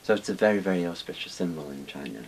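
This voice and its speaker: A man's voice: deep voice, lively intonation, fast talker, vocal fry, low-quality recording. An older man from Wales explaining things casually and discursively as an interviewed expert, in a small book-lined room. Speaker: interviewed expert on Chinese dragons. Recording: hissy background noise.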